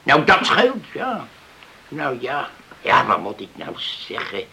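A man acting a hesitant, sceptical villager in a radio drama, speaking haltingly and repeating himself. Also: medium pitch, huge pitch swings, a crowd in the background, exaggerated voice.

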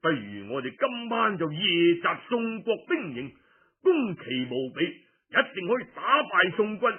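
A man's voice: sits high, sing-song, a little slow, breathy edge, exaggerated voice. A man with a Cantonese accent voicing a bold, confident nobleman advising his lord on battle in an audiobook, formal and emphatic. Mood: confident, strategic. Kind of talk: audiobook storytelling. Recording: muffled phone-like radio recording.

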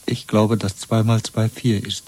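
An actor playing a broken but stubborn prisoner under interrogation in a German radio play, speaking wearily and plainly in a husky voice. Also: male voice, lowish voice, little pitch movement, a little slow, warm tone. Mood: quietly defiant.

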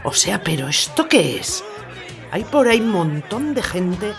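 Woman acting angry foul-mouthed ranter, blunt, comic audio drama, animated intonation, faint music. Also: lowish voice, unhurried. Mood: fed up, indignant. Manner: indignant, blunt, sweary. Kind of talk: comic theatrical monologue in audio fiction.

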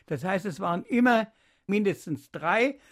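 A man's voice: high-pitched, animated, normal speed, fairly bright tone. A man reminiscing spontaneously in an interview, emphatically drawing out key words. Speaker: interviewee recalling radio productions.

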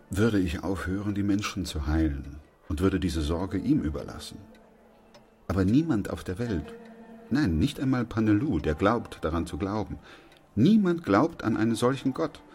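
A man playing a sober, weary, steadfast doctor in a German radio play, speaking in a grave, measured, reflective way. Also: low-pitched, animated, warm tone, soft background music. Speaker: actor playing the narrator and lead.

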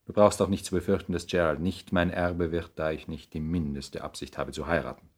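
A very low-voiced, worldly lord in a classic radio drama. He speaks with urbane polish and an arrogant, condescending cynicism. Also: flat delivery, fairly quick. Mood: coolly bargaining. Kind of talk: 1960s German radio play acting.

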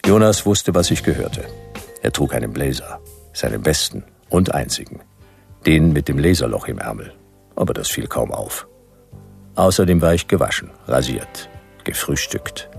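World-weary gumshoe, bottomless bass, gravelly fry, laconic sardonic narration, grumpy, radio play. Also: male voice, normal speed, faint music behind, exaggerated voice. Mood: deadpan. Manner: deadpan, laconic, clipped sardonic phrases. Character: grumpy, world-weary male private detective.

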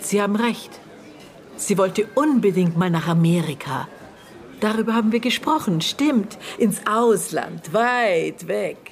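An actress playing a prickly, guarded aunt questioned as a witness in a radio drama, hesitant in recollection and curt in reply. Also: female voice, medium pitch, expressive, a little slow, gravelly. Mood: guarded, recollecting.

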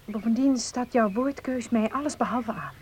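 A woman's voice: a little high, normal speed, darkish tone, lightly acted. An actress playing an earnest, devout and resolute young woman in a radio drama, with polite, formal diction. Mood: uneasy, reproachful. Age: young adult. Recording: noisy old radio play recording.

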